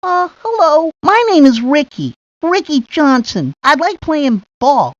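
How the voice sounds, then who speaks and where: High, nervous little boy. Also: big pitch swings, no echo. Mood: nervous, hesitant. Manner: hesitant, halting, full of ums. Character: shy, nervous young boy ballplayer, self-doubting and eager to be left alone. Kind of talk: video game character monologue. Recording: dry, lo-fi 1990s game audio.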